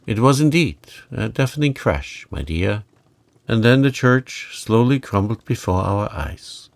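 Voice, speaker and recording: A man's voice: fairly low pitch, expressive, a little slow, vocal fry. A man voicing a genteel, dramatic middle-aged aunt in a storybook, recounting things with emphasis. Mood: dramatic, pitying. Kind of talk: storybook character reading.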